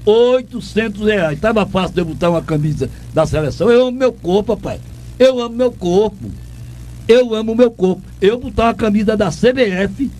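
Indignant older man from Pernambuco, high voice. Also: animated, normal speed, darkish tone, slight background noise. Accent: Northeastern Brazilian, Pernambuco. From Pernambuco, Brazil. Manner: indignant, emphatic, repeats himself. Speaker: radio show host, former sports reporter. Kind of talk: ranting commentary.

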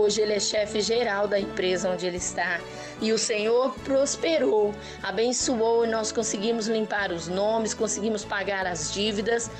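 Brazilian woman testifying over clear background music. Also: mid-range voice. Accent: Brazilian Portuguese. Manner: devout, earnest. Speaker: church member giving a testimony. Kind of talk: personal religious testimony addressed to viewers. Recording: music bed under the voice.

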